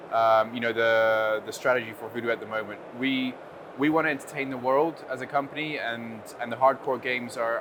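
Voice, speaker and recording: A British man whose first language is Dutch, answering interview questions conversationally and hesitantly, with many filler phrases. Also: medium pitch. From United Kingdom. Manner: hesitant, with frequent "you know". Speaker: interview guest, game studio general manager. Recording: clear background noise, busy venue ambience.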